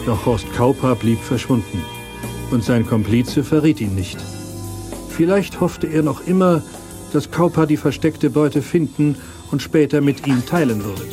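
Brief: male narrator for a radio play, mid-pitched, scripted storytelling, clearly audible music underneath. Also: lively intonation, a little slow. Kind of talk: scripted audio drama narration.

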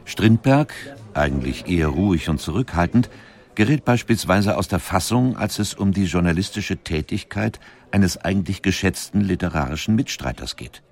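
A man reading scripted documentary narration in a measured, articulate storytelling manner. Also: low voice, expressive, creaky, a little mellow.

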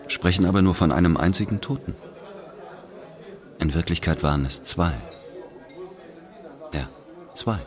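An actor playing a brooding man who narrates a family tragedy in measured, terse radio drama monologue. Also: low-pitched, a crowd in the background.

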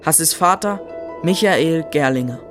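Fairly high male voice, slow credits announcer, measured, formal, faint music behind. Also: lively intonation. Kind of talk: scripted radio drama credits reading.

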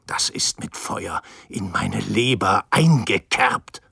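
An actor playing a tormented, self-mocking alcoholic writer in a radio drama, theatrical and halting. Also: male voice, on the low side, animated, gravelly, pushed and bright, a little hiss or hum, heavily acted. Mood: bitter, drunken musing. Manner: theatrical, halting, swinging between murmur and outburst. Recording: music behind the voice.

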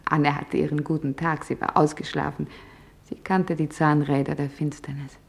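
An actress playing an office worker recalling wartime nights, in deliberate, hushed storytelling with dramatic pauses. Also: female voice, deep voice, flat delivery, gravelly. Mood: quietly remembering. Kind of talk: dramatised testimony in a radio feature.

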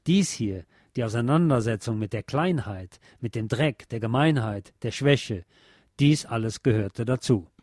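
A man's voice: mid-range voice, expressive, unhurried, darkish tone, no room sound, clean studio sound. A man reading literary prose aloud as an audiobook narrator, in scripted narration.

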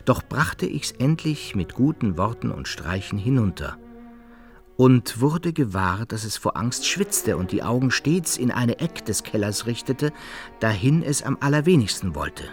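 A man narrating an old adventure tale in a radio play, with expressive, dramatic storytelling. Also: medium pitch, a little slow, faint music behind. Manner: expressive storytelling, archaic wording.

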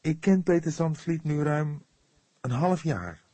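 Audiobook role: protective young woman, firm and earnest, raised pitch, husky edge played by a man. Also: measured pace, mellow, dry recording. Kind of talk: audiobook character dialogue.

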